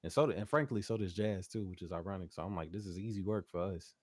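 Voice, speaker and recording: A man with a low, deep voice talks as a guest artist in a casual interview. His delivery is informal and slangy, coming in loose bursts. The sound is dry and close, with no room reverb. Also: quick pace. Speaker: interview guest, R&B singer and producer.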